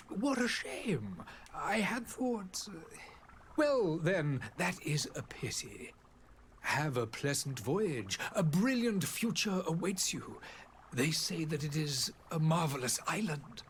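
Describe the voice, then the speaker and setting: A man's voice: a little high, sing-song, a little hiss or hum. An actor playing a gracious, grave older male ambassador in a video game, with formal, florid phrasing. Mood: gracious, regretful. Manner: formal, florid, hesitant pauses. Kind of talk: scripted video game dialogue.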